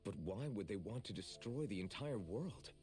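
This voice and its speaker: Deep-voiced, earnest young hero played by a man. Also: expressive, moderate pace, a little mellow, close to an everyday voice. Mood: puzzled, serious. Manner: plain-spoken, sincere. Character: earnest, determined young male hero, protective. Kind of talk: anime video game voice acting.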